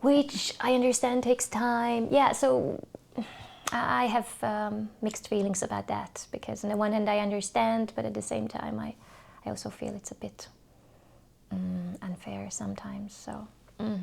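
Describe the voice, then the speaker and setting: A Hungarian woman speaking English as a podcast guest, telling stories thoughtfully with many pauses and restarts. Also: mid-range voice, flat delivery, gravelly, a little mellow. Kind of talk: casual interview chat.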